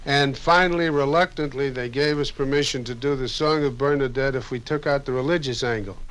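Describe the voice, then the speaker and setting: A man reminiscing in an interview about radio work, measured, with pauses and a dry wit. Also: medium pitch, noisy background.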